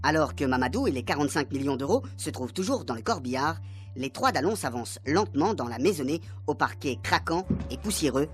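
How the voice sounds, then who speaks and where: A woman's voice: sits low, expressive, fairly quick, faint music behind. A woman narrating a radio serial in a scripted style.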